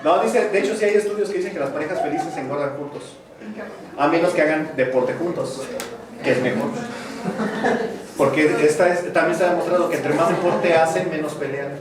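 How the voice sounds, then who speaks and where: A man's voice: fairly high pitch, fairly quick, crowd noise. A man with a Mexican Spanish accent teaching a university math class, chatty and joking between explanations. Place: large classroom. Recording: echoey classroom, distant, students murmuring.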